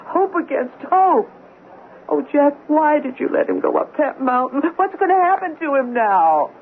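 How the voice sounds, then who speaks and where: An anxious, reproachful woman in an old radio drama. Her high voice pleads emotionally, swinging widely in pitch. The recording sounds narrow and phone-like. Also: very animated, normal speed, a little hiss or hum. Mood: pleading. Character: worried, pleading woman clinging to hope.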